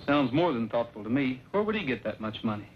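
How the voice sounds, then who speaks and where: A man playing a Western hero who talks quickly and plainly while staying steady and reassuring. He takes charge decisively. The sound is dull and faintly noisy, like an aged radio recording. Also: mid-range voice, close to an everyday voice. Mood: serious. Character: calm, decisive cowboy hero taking charge. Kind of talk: mid-century Western drama acting.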